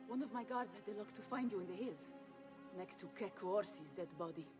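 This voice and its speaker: A woman's voice: medium pitch, brisk, recorded from a distance, over music, natural voice. An actress playing a commanding, teasing noblewoman with an Italian accent in a video game cutscene. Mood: concerned.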